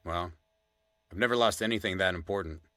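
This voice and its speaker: A man's voice: low voice, a little fast, bright, forceful tone, dry recording, clean studio sound, soft background music. A man playing a probing, teasing podcast interviewer and old friend in a natural audio drama. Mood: teasing, confiding.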